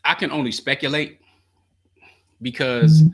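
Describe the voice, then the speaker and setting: A man hosting a call-in advice show as a coach, talking in an animated, slangy storytelling way. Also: medium pitch, measured pace, slightly rough.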